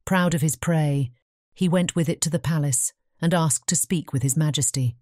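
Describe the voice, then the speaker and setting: Low-voiced British woman narrating. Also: moderate pace, a little mellow, no room sound, studio quality. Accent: British English. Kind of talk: scripted fairy-tale narration.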